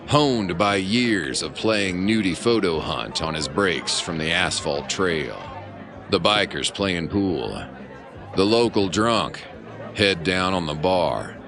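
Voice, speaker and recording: A man's voice: deep voice, creaky, heavily acted. A man playing a grandiose pulp adventure narrator in a comic audio drama, pompous and dramatic with a straight face. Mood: dramatic, wry. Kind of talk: mock-heroic scripted audio drama narration.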